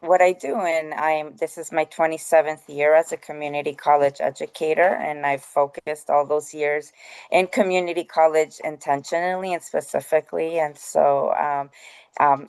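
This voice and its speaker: A woman's voice: sits low, smooth, dry recording. A middle-aged woman from the Bay Area, a college counselor, talking as a guest over a call, chatty and laughing often. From San Francisco Bay Area. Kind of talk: casual talk on a call-in show. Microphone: phone or online call.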